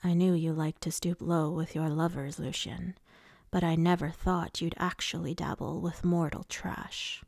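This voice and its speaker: A woman's voice: low voice, fairly even intonation, measured pace, gravelly, darkish tone, dry recording, clean studio sound, voice pushed down, exaggerated voice. A woman voicing a taunting, casually cruel immortal male lord in an audiobook fantasy story, with a purring menace. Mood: taunting, menacing. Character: menacing, smooth, mocking immortal male High Lord villain.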